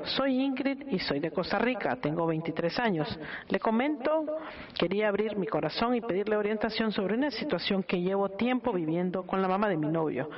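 A voice on a radio show reading a listener's email aloud in a steady, measured way. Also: medium pitch. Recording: muffled radio broadcast.

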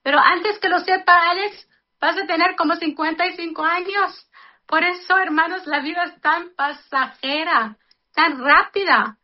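A woman's voice: very high-pitched, a little slow. Earnest woman in her fifties praying. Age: 50s. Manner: earnest, addresses listeners as brothers and sisters. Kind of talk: spoken reflection and prayer. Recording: dull, lo-fi radio broadcast.

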